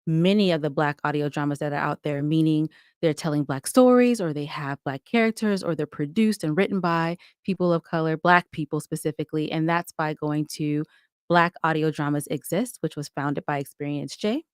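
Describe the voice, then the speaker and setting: A woman's voice: deep voice, moderate pace, smooth, no room sound, studio quality. A woman giving talkative, explanatory answers as a guest in a conversational podcast interview. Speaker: podcast guest, audio drama creator.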